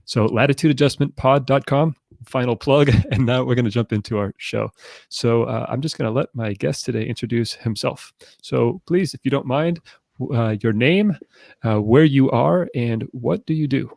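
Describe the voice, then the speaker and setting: A man's voice: mid-range voice, normal speed, vocal fry, darkish tone, dry recording, studio quality. A man hosting a live podcast, speaking casually and introducing his guest. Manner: casual, with some fillers. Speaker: podcast host.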